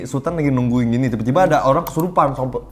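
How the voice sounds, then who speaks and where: A woman's voice: very deep voice, rapid-fire. A woman reviewing a film in casual chat, blunt, swearing often and ranting animatedly.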